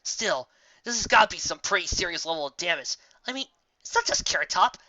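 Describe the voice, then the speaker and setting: A woman's voice: on the low side, animated, a little fast, sharp tone, no echo, muffled recording, pitched above the performer's own voice. A woman voicing a temperamental pegasus mare in a storybook reading, exasperated and emphatic. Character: temperamental, fed-up pegasus mare, earnest and outraged.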